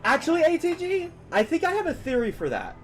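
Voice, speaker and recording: A man's voice: very high-pitched, wildly swooping pitch, brisk, soft background music. A man giving casual, opinionated, rambling commentary on a live stream while he plays a video game.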